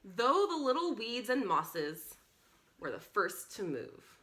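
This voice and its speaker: A woman reads a children's story aloud in a bright, forward voice. Her pitch moves widely and expressively as she narrates. She speaks directly to young listeners with encouraging asides, like a storytime presenter. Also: sing-song, slow pace.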